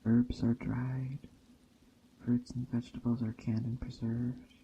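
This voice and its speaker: A man speaking softly and deliberately in an ASMR roleplay as a gentle witch, often slipping into a whisper. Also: medium pitch, little pitch movement. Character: gentle pagan witch teaching a traveler about a holiday. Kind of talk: ASMR roleplay, soft-spoken character monologue. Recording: dull muffled audio, faint ambient noise. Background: soft nature ambience of rain and forest.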